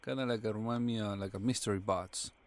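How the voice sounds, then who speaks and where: A man reviewing a product casually, thinking aloud in short, halting fragments. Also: fairly low pitch, steady tone.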